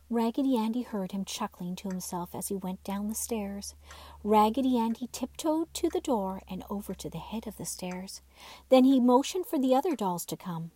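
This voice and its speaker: A woman reading a children's bedtime story aloud in expressive, scripted narration. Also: medium pitch, no room sound. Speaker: storybook reader.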